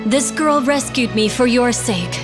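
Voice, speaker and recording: A woman's voice: fairly high pitch, expressive, measured pace, over music. A woman voicing a hunted, wary young witch in a fantasy video game, speaking with tense drama. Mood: wary, pleading. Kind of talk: dramatic game dub acting.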